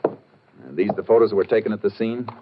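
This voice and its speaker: An actor playing a seasoned, plain-spoken Texas Ranger detective with an American accent in 1950s radio drama style. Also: male voice, lowish voice, animated, fairly quick, noisy background, close to an everyday voice. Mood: firm, analytical. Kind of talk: 1950s radio drama acting and first-person narration. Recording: muffled 1950 radio drama recording.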